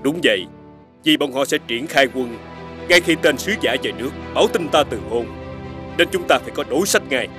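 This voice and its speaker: An actor playing an aging, firm but caring king in an animated fairy tale, speaking formally and with measured dignity. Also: medium pitch, lightly acted. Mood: grave, decisive. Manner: formal, measured royal speech. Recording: faint music behind.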